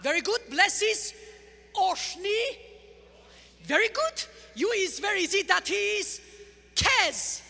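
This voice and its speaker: A woman with an Armenian accent, a guest speaker giving a lively, humorous church testimony, heard in a large church. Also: very high-pitched, slow pace, vocal fry, pauses often. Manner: lively, humorous, teaching the crowd. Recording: large church hall reverb with faint music.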